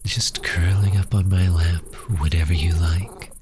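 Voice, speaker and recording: A man playing a tender, grieving orphan in a soft ASMR audio roleplay, talking slowly and haltingly to a pet cat in a breathy voice that often drops into a whisper. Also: low-pitched, steady tone, music in the background. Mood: soft, worried affection. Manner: slow, halting, intimate.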